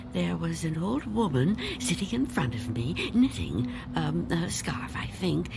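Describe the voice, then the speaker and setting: An actress playing a frightened, reclusive old woman in a radio drama, speaking in a halting, insistent, theatrical way. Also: fairly low pitch, gravelly, heavily acted. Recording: loud music under the voice.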